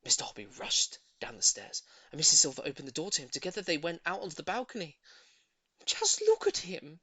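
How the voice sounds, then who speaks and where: Woman, storybook narrator, very lively intonation, dramatic delivery, dry close sound. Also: low voice, fast talker, breathy edge, no room sound. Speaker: audiobook reader.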